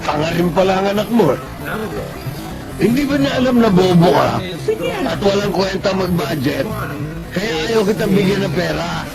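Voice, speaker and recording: A man's voice: high-pitched, expressive, normal speed, gravelly, long pauses, low-quality recording. A man with a Filipino accent playing a cruel, jealous, domineering husband in a radio drama, sneering and bullying. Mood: scornful, boastful. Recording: loud music under the voice.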